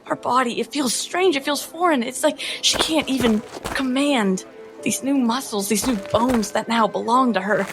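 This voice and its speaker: A woman playing a tabletop roleplaying game, narrating her character's feelings in an earnest, measured, dramatic way. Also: on the high side, faint music behind.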